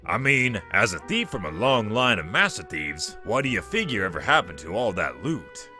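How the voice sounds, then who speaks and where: Casting: man as old walrus convict for a comic dub, low, lively pitch, gruff, slangy, crotchety. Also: moderate pace, faint music behind, exaggerated voice. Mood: nostalgic.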